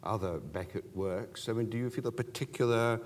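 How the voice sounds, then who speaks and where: A man's voice: on the low side, fairly quick. A man interviewing an actor onstage, courteous and attentive. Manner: courteous, attentive, frequent murmured assent.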